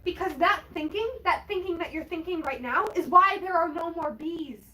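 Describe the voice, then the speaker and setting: A woman's voice: extremely high pitch, normal speed, sharp tone, distant mic, a little hiss or hum. A woman playing a distraught, indignant young woman in a naturalistic audio drama, with an emphatic, sarcastic, exasperated manner. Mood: accusing. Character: distraught, indignant young woman fretting over extinct bees.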